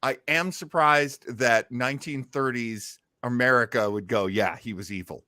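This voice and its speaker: A man's voice: mid-range voice, moderate pace, bright, forceful tone, dry recording. A man hosting a podcast, chatting casually and wisecracking between clips, close on the microphone. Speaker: podcast host. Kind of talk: casual chat commentary on a radio play.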